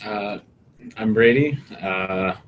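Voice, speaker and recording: A man's voice: lowish voice, slow pace. A young man, a graduate student, casually introducing himself in a classroom. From Ontario, Canada. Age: young adult. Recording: faint background room noise.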